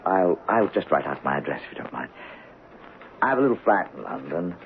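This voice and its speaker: A low, hesitant male writer, whose voice rises and falls widely as he stammers politely. It sounds like an old radio play, thin as a phone line with a faint hiss behind it. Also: big pitch swings, brisk. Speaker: Robin Graves, a writer. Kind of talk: 1970s radio drama acting.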